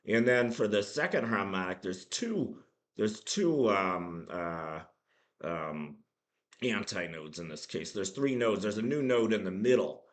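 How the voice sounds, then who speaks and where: Low-voiced guy with a hoarse sick voice, explaining math loosely, lots of uhs and restarts. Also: lively intonation. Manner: halting, many ums and restarts. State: hoarse from a cold. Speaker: math channel host. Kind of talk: casual spoken math lesson.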